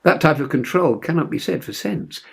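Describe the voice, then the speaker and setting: A man reading a novel aloud as dramatic literary narration, expressive and measured. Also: fairly high pitch, gravelly, slightly rough. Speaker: audiobook reader.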